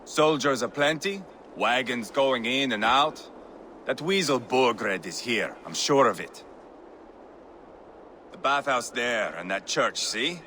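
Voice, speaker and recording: A brash, sharp-voiced, swooping Viking warlord. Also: male voice, mid-range voice, lively intonation, slow pace, a little hiss or hum. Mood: impatient, scornful. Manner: sardonic, theatrical. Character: brash, mocking, bloodthirsty male Viking warlord. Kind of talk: video game cutscene acting.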